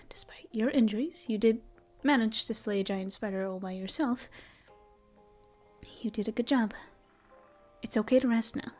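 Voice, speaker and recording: Casting: soothing elf ranger woman for a fantasy comfort audio drama, soft whispery delivery, slightly high voice, a woman playing her. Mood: tender. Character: gentle female elf ranger, caring and shy. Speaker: voice actress. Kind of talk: audio roleplay, comfort ASMR.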